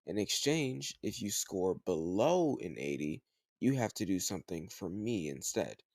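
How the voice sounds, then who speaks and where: A man playing a teasing, slightly older home tutor who gently chides the listener in a conversational, intimate roleplay audio drama. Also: low-pitched, sing-song, unhurried, no room sound, heavily acted. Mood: teasing, coaxing.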